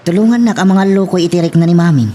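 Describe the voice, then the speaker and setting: A man's voice: sits high, fast, mellow. An actor playing a cheeky, eager suitor who drives a tricycle and pleads his case in a serial drama. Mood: pleading. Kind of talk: radio-style serial drama acting. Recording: faint background noise.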